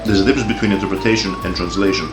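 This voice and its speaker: Deep-voiced ranting Greek-Australian man. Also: steady tone, rapid-fire, roomy sound, music in the background. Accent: Greek-Australian English. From Greece, raised in Australia. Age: 40s. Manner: heated, profane, repeats words when riled. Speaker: commentator. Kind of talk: opinion rant.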